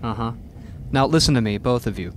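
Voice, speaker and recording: A man playing a stern, weary middle-aged father and prosecutor in a radio drama, speaking in a measured, admonishing way. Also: medium pitch, noisy background, lightly acted.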